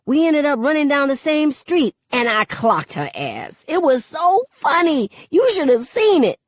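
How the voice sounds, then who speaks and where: A woman voicing an outgoing, gossipy teenage girl who talks in animated slang in an audiobook. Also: sits high, slow pace, no echo, studio quality, pitched above the performer's own voice, full character voice. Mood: gleeful gossip.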